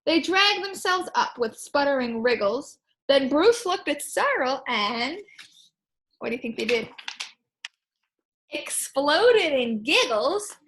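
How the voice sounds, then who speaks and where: A woman teacher reading a picture book aloud to children, expressively, pausing to ask questions and explain things simply. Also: high voice, sing-song, a bit bright, a little hiss or hum, rough recording. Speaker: primary school teacher.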